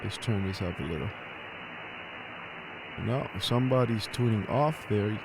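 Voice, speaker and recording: A man's voice: fairly low pitch, measured pace, background noise. A man who is an amateur radio operator, chatting casually and making radio calls. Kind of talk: casual chat and radio calling with phonetic alphabet.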